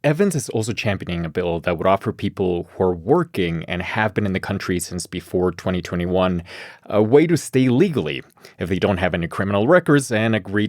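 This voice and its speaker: A man's voice: sits low, darkish tone, dry recording. An American man hosting and reporting in a scripted public radio news style, close on a studio microphone. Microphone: close studio microphone, dry.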